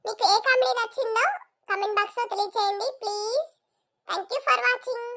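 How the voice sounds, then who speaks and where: Brief: performer doing a very high, squeaky cartoon kid, cheeky and chirpy. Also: fairly even intonation, normal speed, creaky, sharp tone. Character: cheeky schoolboy answering the teacher. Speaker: channel host and cartoon voice. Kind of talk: cartoon joke sketches.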